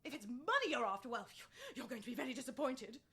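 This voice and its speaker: A woman playing a proud, sharp-tongued agency boss in a radio comedy, sarcastic, haughty and emphatic. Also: a little high, animated, fast talker. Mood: scornful, sarcastic. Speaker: actor in a radio play. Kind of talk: theatrical radio comedy acting.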